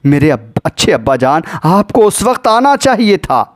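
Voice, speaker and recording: A man's voice: fairly high pitch, lively intonation, close to an everyday voice. A man voicing a grief-stricken sister in a religious lament, pleading and reproaching with anguished repetition. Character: grief-stricken pleading sister guarding her slain brother.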